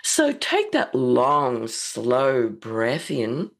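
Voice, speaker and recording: A woman with a slightly croaky voice hosting a psychic radio show and leading a slow, soothing guided meditation. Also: extremely low pitch, wildly swooping pitch. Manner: slow, soothing, gently spiritual.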